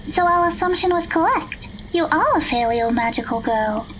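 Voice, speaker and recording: A woman playing a gentle, polite teenage magical girl in an audio drama, speaking matter-of-factly. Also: extremely high pitch, animated, normal speed, slight background noise, rough recording. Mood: gentle, firm.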